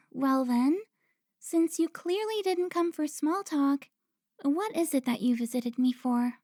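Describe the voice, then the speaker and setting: A woman's voice: high-pitched, darkish tone, no room sound, clean studio sound. A woman playing a teasing, pouty, centuries-old vampire who looks twenty, in a cinematic audio roleplay. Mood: coy, needling. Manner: playful, pouty, drawn-out teasing.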